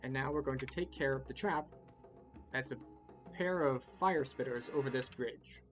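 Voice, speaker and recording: A man's voice: mid-range voice, moderate pace, over music. A man giving step-by-step playthrough commentary as a video game guide, explaining things in an instructive way.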